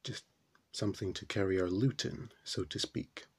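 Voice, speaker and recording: A man playing a puzzled, brooding character in a theatrical stage monologue, pondering haltingly with deliberate pauses. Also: low-pitched, husky. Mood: puzzled musing.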